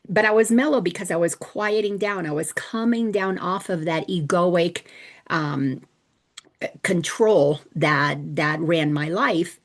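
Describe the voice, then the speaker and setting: A middle-aged woman from the Dominican Republic hosting a casual spiritual teaching talk, chatty and storytelling, often restarting her sentences. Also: lowish voice. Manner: chatty, warm-hearted storytelling with frequent restarts.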